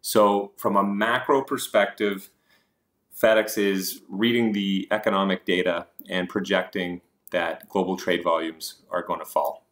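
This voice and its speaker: A man's voice: sits low, little pitch movement, measured pace, a bit bright. A man presenting investing slides as a host, explaining points informally with hesitant asides.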